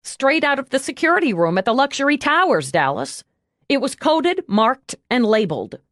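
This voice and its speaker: A woman's voice: sits high, big pitch swings, normal speed, no echo, clean studio sound, voice pushed up. A woman voicing a loyal female police aide in an audiobook, dutiful and wryly cheeky as she reports. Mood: defensive. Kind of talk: audiobook character dialogue.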